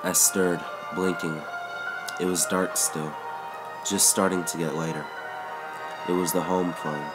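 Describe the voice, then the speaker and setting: A man reading a first-person story aloud in a measured, even narration. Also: low voice. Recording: music bed underneath. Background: background music.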